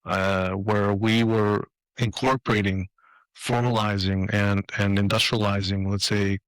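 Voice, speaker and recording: Low-voiced man from Greece, guest. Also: level tone, a little slow, no echo, poor audio. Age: 50s. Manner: reflective, with restarts and fillers. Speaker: guest, design leader. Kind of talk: interview answers. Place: apartment.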